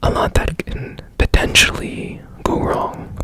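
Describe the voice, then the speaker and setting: A man playing a strange, curious, gently reassuring repairman in a whispered ASMR roleplay, speaking politely and haltingly in a breathy hush. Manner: slow, hushed, polite, halting.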